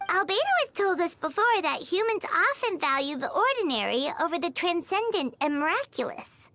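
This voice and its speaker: A woman voicing a tiny, childlike, cheeky fairy companion in a video game, squeaky and excitable. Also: moderate pace, full character voice. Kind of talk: English video game dub. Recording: music behind the voice.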